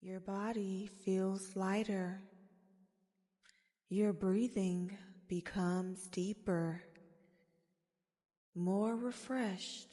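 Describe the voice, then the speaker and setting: Slow, soft-spoken woman in long reverb. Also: medium pitch, fairly even intonation. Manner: soothing, gentle, deliberate phrasing. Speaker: guided meditation host. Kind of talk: soft-spoken ASMR guided meditation narration.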